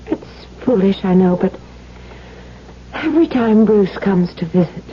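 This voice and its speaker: An actress playing a gentle, devoted, slightly anxious aristocratic wife in a 1970s radio drama, refined and earnest. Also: female voice, medium pitch, expressive, a little slow, close to an everyday voice. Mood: anxious, confiding. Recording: dull vintage radio broadcast, faint hum.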